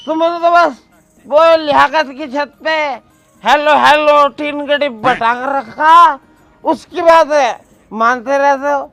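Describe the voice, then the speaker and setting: A man's voice: very high-pitched, slow pace, sharp tone, dry recording, soft background music, exaggerated voice. A man playing a swaggering, scornful village crank who scolds and boasts, in a comedy sketch. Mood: scornful, boastful.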